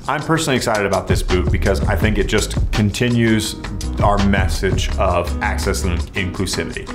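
A man in his thirties presenting a new hiking boot in a workshop, enthusiastic and conversational. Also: deep voice. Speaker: footwear company representative. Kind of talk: product presentation. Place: indoor workshop.

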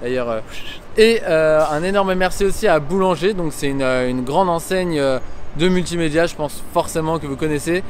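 A man's voice: fairly high pitch, normal speed, slight background noise. A man, talking to camera in an informal, enthusiastic vlog style. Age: 20s. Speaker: video game vlogger, host.

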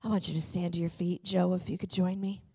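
A woman's voice: on the low side, little pitch movement, fast, a little mellow, breathy edge. Fervent middle-aged woman preaching. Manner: emphatic and fervent, with repeated phrases. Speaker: pastor preaching to a congregation. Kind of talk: sermon with personal storytelling. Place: large church auditorium, on stage. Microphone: handheld microphone.

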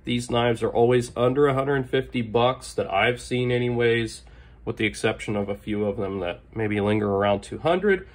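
Hoarse man, knife-channel host, casual and enthusiastic, throat clearing, desk recording. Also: mid-range voice. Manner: enthusiastic, clears throat often, filler-heavy. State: hoarse, recovering lost voice. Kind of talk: casual product show-and-tell. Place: indoors at a desk. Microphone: overhead camera on table, hands only.

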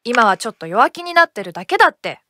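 An actress playing a cheerful teenage girl team manager in a game, gently and kindly encouraging. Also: a little high, big pitch swings, quick pace, soft background music. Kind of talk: Japanese game voice acting.

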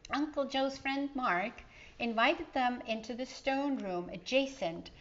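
Storyteller, woman, middle-aged. Slow, emphatic children's reading, slightly dull room recording. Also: high-pitched, a little hiss or hum. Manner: slow, emphatic, explanatory asides to young listeners. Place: indoor living room.

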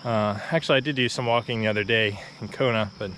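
A man's voice: fairly low pitch, fast talker, slight background noise, poor audio. A young man chatting casually with many fillers as he walks a rural road, a little out of breath, filmed on a camera held at arm's length. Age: young adult. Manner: relaxed and chatty, with many fillers and short laughs. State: slightly out of breath from walking uphill. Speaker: vlogger. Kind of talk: casual walking vlog. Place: outdoors on a quiet rural road, walking. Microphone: phone or action camera held at arm's length.

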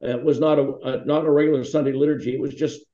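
An older man, founder of a foundation, telling relaxed, rambling stories with fillers over a video call from his home. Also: mid-range voice, fast. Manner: rambling, anecdotal, with many fillers. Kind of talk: relaxed storytelling. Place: home room with wall hanging. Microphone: video call webcam. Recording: roomy, slightly dull webcam audio.

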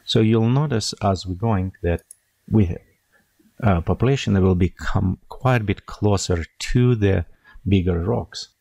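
Low gravelly man walking through software. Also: no echo. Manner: unhurried, thinking aloud while clicking. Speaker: software tutorial instructor. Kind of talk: screen-recorded software tutorial.

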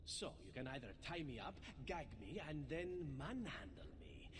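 An actor playing a flirtatious, unflappable elf assassin in a video game, teasing and theatrical. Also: male voice, mid-range voice, very animated, measured pace, background noise. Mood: sly, playful. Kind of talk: video game character acting.

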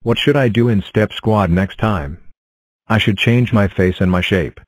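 A man's voice: fairly low pitch, measured pace, no echo, close to an everyday voice. A man voicing a matter-of-fact number block in a children's counting cartoon, with a stiff, recited delivery.

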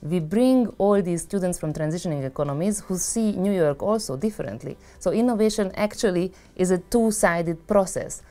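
A woman's voice: lowish voice, animated, moderate pace, hardly any pauses, dark tone. A woman in her thirties, a school administrator, speaking earnestly in a promotional interview in an indoor lounge.

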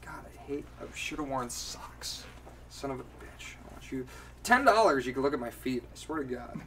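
A man in his twenties bantering loosely as a podcast co-host. He is boisterous, slangy and sweary, with a mid-pitched voice. He is picked up a little way from the mic in a home room with faint background noise. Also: lively intonation, normal speed, fairly bright tone, recorded from a distance. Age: 20s. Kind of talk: casual banter, joking. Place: furnished home room, indoors.

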